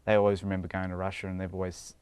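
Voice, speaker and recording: A young man with a New Zealand accent talks casually through an interview, often laughing and restarting his sentences. His voice sits a bit low and comes across smooth and clear. The sound is dry, with no room echo. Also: monotone, very fast, darkish tone.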